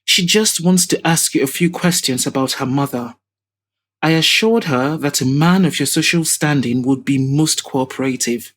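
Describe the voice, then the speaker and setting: Audio drama companion: composed, polite man, played by a female narrator, measured and diplomatic. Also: mid-range voice, fairly bright tone, close to an everyday voice.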